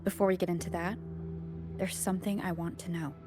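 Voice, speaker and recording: A woman voices a serious traveller in a video game. Her voice is slightly low, quiet and direct, with a probing manner. Soft music sits underneath. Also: flat delivery, a little fast, lightly acted. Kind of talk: dramatic video game voice acting.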